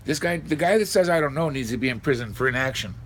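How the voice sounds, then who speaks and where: A man's voice: mid-range voice, animated, quick pace. Blunt American man, faintly noisy recording. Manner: opinionated, blunt, swearing, mimics others mockingly. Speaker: online video commentator. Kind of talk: casual reaction commentary over played clips.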